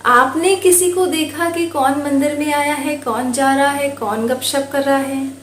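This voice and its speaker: Storybook temple priest played by an Indian woman: calm, courteous, measured guidance, high register, faint background noise. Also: reverberant. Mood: patient questioning. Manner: polite, measured, instructive. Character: calm, patient, wise male temple priest. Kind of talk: storybook dialogue.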